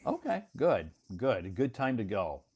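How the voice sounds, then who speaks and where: An American man hosting a casual English conversation lesson, talking in a relaxed, reassuring way and often repeating himself. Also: mid-range voice, measured pace, husky. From United States. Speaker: English teacher and host.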